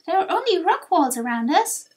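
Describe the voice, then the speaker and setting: A woman's voice: extremely high pitch, sing-song, normal speed, a little mellow, voice pushed up. A woman voicing an eager, easily frightened young fox explorer in a video game, stammering and exclaiming. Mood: worried.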